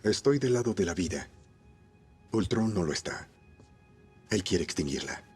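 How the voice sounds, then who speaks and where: An actor with a Mexican accent playing a noble synthetic android hero, grave and deliberate, in a film dub. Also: male voice, low voice, lively intonation, darkish tone, faint music behind. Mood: solemn.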